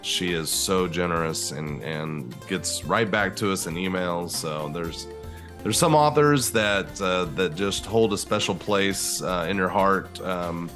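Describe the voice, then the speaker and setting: A man with a fairly low voice co-hosts a podcast. He talks in a casual, chatty style, with faint music underneath. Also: unhurried, a bit bright. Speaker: podcast co-host. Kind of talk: casual chat.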